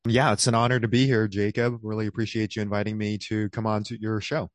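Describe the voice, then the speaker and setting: A man in his thirties with a very low, deep voice talks in an easygoing, rambling way. He fills his sentences with "um" and "you know" as he answers questions as a guest. His voice sounds close and dry, with no room sound. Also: level tone, quick pace, no room sound. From born in South Korea, raised across the United States. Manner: easygoing, rambling, many fillers. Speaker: guest, physician. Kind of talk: casual interview conversation.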